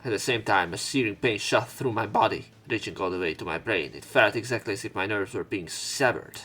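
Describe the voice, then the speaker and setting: A man's voice: fairly low pitch, a little fast, sharp tone. A man hosting a let's play, reading visual novel narration aloud in a dramatic way, with commentary. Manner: acts out lines and exclamations.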